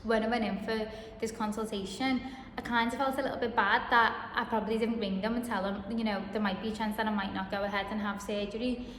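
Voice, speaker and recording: A woman's voice: mid-range voice, fairly even intonation, fast talker, fairly bright tone, a little hiss or hum. Chatty woman, late 20s, vlogging in an echoey room with long reverb. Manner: chatty, frequent ums and restarts. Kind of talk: personal storytelling to camera.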